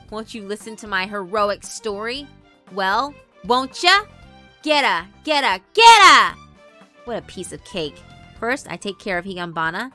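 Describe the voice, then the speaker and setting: A woman voicing a short-tempered, gloating tyrant spirit girl in a boastful, taunting visual novel performance. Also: mid-range voice. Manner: boastful, taunting, shouting with a chanted cackle. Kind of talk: dramatic visual novel voice acting. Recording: music behind the voice.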